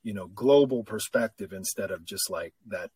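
Husky-voiced middle-aged man, reflective. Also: fairly low pitch, moderate pace, darkish tone, no echo. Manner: reflective, candid, self-deprecating. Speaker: podcast guest and coach. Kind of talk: casual conversation.

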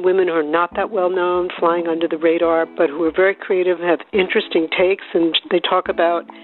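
A woman with an American accent giving a listener's testimonial over a thin phone-like line. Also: on the low side. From New Jersey, United States. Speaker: podcast listener giving a recommendation.

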